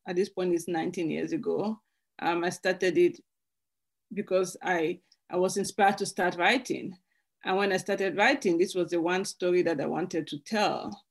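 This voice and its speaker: Woman novelist from Cameroon, reflective, halting restarts, video call. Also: on the low side, steady tone. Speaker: author. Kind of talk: author interview.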